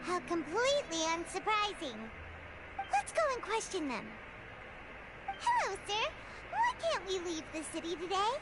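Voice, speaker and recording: A woman voicing a tiny, childlike, excitable fairy companion in a video game, squeaky and emphatic. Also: unhurried, pauses often, heavy background noise, heavily acted. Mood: scheming. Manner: refers to self in third person, emphatic. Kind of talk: animated video game voice acting.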